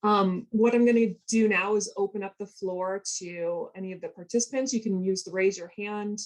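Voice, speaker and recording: A woman's voice: mid-range voice, fairly even intonation, moderate pace. Upbeat woman moderating a panel. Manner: upbeat, encouraging. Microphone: video call.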